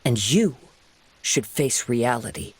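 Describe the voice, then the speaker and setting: An actor playing a calm, principled young wandering samurai in a video game, speaking in measured, eloquent and earnest sentences. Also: male voice, mid-range voice, slightly rough, heavily acted. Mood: firm, challenging. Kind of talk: dramatic video game voice acting.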